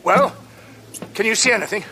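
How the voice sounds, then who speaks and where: A man's voice: high-pitched, moderate pace, noisy background. An actor playing an earnest older professor and anxious father, intense and articulate, in an audio drama. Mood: intent. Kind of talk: audio drama narration and dialogue.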